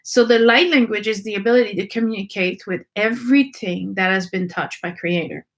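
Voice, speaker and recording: Lady teaching a class, lots of ums and restarts, middle pitched voice. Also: brisk. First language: French. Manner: searching, many ums and restarts. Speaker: energy work teacher. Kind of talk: unscripted teaching talk.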